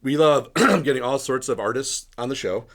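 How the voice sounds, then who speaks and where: A man hosting a casual podcast interview, his voice a little hoarse from bronchitis. He talks in a loose, rambling way, going off on tangents and often clearing his throat. His pitch sits in an ordinary middle range. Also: lively intonation, moderate pace. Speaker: podcast host.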